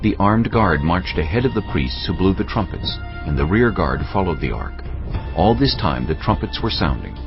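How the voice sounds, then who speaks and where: Very deep, gravelly male Bible narrator. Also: very animated, moderate pace. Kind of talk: scripted narration of dramatized audio Bible. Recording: dull audio, music underneath.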